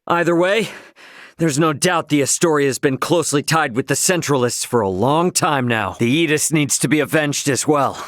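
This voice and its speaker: An actor playing a brash, hot-headed but loyal young starship captain in a video game, with a blunt, cocky, slangy delivery. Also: male voice, a little high, normal speed, fairly bright tone, no echo, studio quality, close to an everyday voice. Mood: pensive, casual. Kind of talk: voiced video game character lines.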